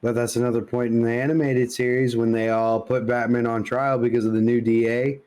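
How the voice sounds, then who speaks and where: A man's voice: mid-range voice, flat delivery, brisk, a little mellow, clear, resonant tone. A man chatting informally as a podcast co-host, animatedly retelling dialogue, with casual swearing. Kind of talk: casual pop-culture podcast chat.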